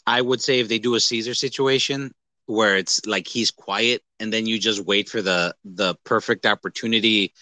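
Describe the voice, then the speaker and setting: A man with a bright, forceful voice, recorded close and dry. He chats casually as a podcast co-host, rambling with animation and swearing freely. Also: lowish voice, fairly even intonation, moderate pace, no echo. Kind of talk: casual chat about films and games.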